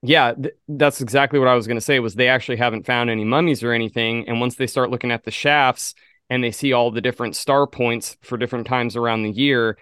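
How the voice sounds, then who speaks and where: A man's voice: medium pitch, fairly even intonation, fast, fairly bright tone, clear, resonant tone, no echo, studio quality. A man co-hosting a podcast, explaining things in a casual conversational way. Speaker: podcast co-host.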